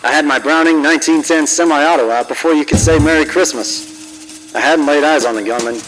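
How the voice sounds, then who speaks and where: An actor playing a cocky, wisecracking old-time private detective in a noir radio drama, wry and swaggering. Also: male voice, fairly high pitch, brisk, music in the background, lightly acted, rough recording. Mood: hard-boiled narrating. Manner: smooth-talking, wry, swaggering. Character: cocky, wisecracking 1940s hard-boiled male private detective.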